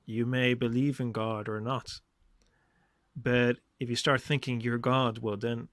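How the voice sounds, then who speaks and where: Male podcast host, reflective monologue, medium pitch, unhurried and measured, dry recording. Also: flat delivery, fairly bright tone, dry recording. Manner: deliberate, short phrases with repetition.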